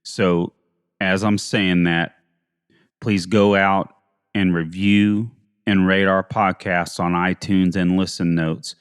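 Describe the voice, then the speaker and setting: Man, deep voice, dry sound, earnest podcast host, halting fillers. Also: flat delivery, smooth, no echo, in a large hall. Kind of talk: casual podcast chat.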